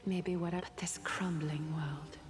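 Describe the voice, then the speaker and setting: A woman playing a composed, regal female leader in a video game, speaking in a measured, knowing, formal way. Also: low-pitched, fairly even intonation. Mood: solemn, composed. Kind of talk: game voice acting. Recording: long reverb, faint music behind.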